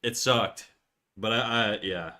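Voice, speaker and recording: A man's voice: fairly low pitch, measured pace, bright, forceful tone. A young man with a cold, sounding stuffy and nasal, chatting casually and swearing on a livestream. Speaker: streamer. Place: home room.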